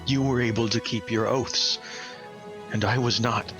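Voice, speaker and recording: A tormented older male High Arcanist, as acted by a male game master, speaks in a slow, solemn and anguished way. He is weighed down by grief and despair in a tabletop fantasy game, with clear music underneath. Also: steady tone, close to an everyday voice. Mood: broken, regretful.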